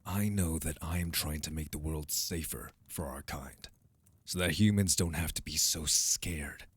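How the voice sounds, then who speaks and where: Man acting a very deep-voiced, embittered vampire brother. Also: unhurried, breathy edge, dry recording, exaggerated voice. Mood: cold, defensive. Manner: intense, emphatic, accusing delivery. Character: embittered vampire brother, hardened, wounded, proud young man. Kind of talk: dramatic audio roleplay acting.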